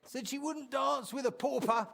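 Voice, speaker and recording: An actor voicing a chatty medieval townsman in a video game, telling colloquial, animated tales of old times with fondness. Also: very high-pitched, moderate pace, vocal fry, exaggerated voice. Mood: amused storytelling. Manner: animated, colloquial storyteller acting out quotes. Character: chatty medieval townsman reminiscing fondly about an old drinking friend. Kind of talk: storytelling in video game dialogue.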